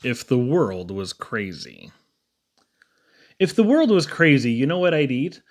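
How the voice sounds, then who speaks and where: A man's voice: mid-range voice, big pitch swings, unhurried. A man reading humorous children's poems aloud in a theatrical, playful storytelling manner. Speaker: poetry reader.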